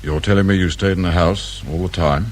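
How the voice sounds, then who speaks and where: Man acting a deep-voiced, shrewd British police inspector. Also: fairly even intonation, a little fast, a little hiss or hum, natural voice. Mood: probing, measured. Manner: probing, brusque, sardonic. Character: shrewd, dry, irritable male police detective inspector. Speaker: actor in a radio drama. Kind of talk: British radio crime drama acting.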